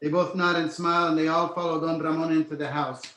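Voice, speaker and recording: Casting: male narrator for screenplay directions, slightly high voice, roomy echoing sound. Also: level tone, quick pace, fairly bright tone. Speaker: narrator reading stage directions. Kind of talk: screenplay table read narration, present tense.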